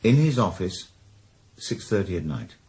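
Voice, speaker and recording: A man's voice: low-pitched, very animated, moderate pace, darkish tone. An actor with a Welsh accent playing a retired male ex-detective who probes with terse, dry questions in a 1980s radio drama. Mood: probing.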